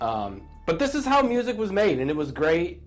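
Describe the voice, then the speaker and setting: A man's voice: sits high, huge pitch swings, normal speed, soft background music. A middle-aged American man co-hosting a podcast in a casual, conversational style. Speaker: podcast co-host. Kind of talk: casual chat.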